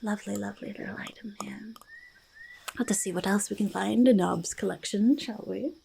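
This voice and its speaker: A woman voicing a quirky, treasure-loving goblin in a soft ASMR roleplay, slipping between soft speech and breathy whispers, repeating words in a quaint, halting way. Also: medium pitch, a little hiss or hum. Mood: fascinated, delighted. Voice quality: breathy half-whisper with creaky fry. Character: quirky, treasure-loving, mildly menacing forest goblin, speaking in third person.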